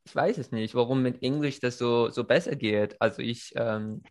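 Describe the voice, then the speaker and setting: Man, chinese native speaker, casual conversation, halting delivery, mid-pitched voice. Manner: hesitant, many fillers and restarts. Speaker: conversation partner.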